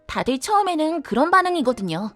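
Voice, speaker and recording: High-voiced, eager young library keeper, played by a woman. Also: big pitch swings, fast talker, a bit bright, faint music behind. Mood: gentle, knowing. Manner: polite, sweet, theatrical formal speech. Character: welcoming, eager youthful librarian who runs a magical library. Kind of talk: audio drama acting.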